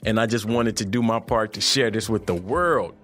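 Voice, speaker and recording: A man hosting an interview podcast, talking in a laid-back, slangy, lively way. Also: lowish voice, moderate pace, soft background music. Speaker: podcast host. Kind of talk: casual interview chat.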